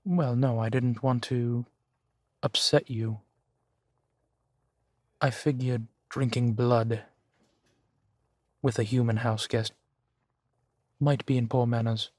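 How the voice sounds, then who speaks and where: A man playing a courteous, gently protective vampire gentleman in an intimate roleplay audio drama, with formal, measured phrasing. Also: mid-range voice, fairly even intonation, no echo, studio quality, lightly acted. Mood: apologetic, courteous. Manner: formal, polite, measured phrasing with soft sighs.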